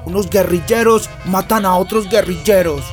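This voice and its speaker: An actor playing a tipsy, gossipy Colombian drinking buddy who pesters in repetitive, colloquial radio drama speech. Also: male voice, sits high, normal speed, soft background music, natural voice. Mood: agitated. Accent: Colombian, with voseo.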